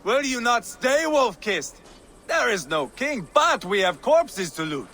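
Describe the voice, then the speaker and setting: An actor playing a fierce, mocking, bloodthirsty Viking warlord in a video game, with a sharp, taunting delivery. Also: male voice, very high-pitched, big pitch swings, a little slow, a little hiss or hum, heavily acted. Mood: gleeful. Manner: sneering, taunting. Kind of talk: dramatic video game acting.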